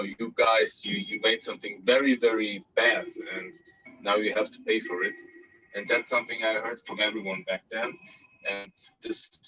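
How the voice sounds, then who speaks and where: Husky low-voiced man on a lo-fi call. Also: bright, forceful tone. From Austria. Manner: thoughtful, many fillers and restarts. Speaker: guest journalist. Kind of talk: conversational interview answers.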